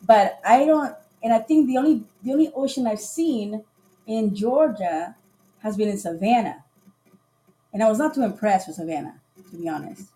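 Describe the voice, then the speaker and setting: Chatty woman guest from Costa Rica with a relaxed, mellow voice who tells stories and acts out the dialogue. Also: fairly high pitch, moderate pace, low-quality recording. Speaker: podcast guest. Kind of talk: casual chat on a livestream.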